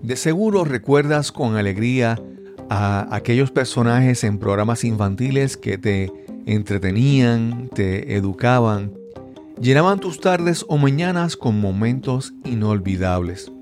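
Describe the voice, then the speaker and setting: A man's voice: mid-range voice, expressive, measured pace, over music. A man hosting a podcast, reading a scripted introduction. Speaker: podcast host.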